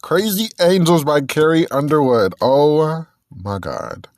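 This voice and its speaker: A man in his twenties chats through a solo podcast episode in a loose, rambling way, often correcting himself mid-sentence. His pitch swings widely as he talks. The close mic gives a dry sound with no room echo. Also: very animated, slow pace, darkish tone. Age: 20s. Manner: chatty, rambling, self-correcting, sings snatches of songs. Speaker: podcast host. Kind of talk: casual solo podcast chat.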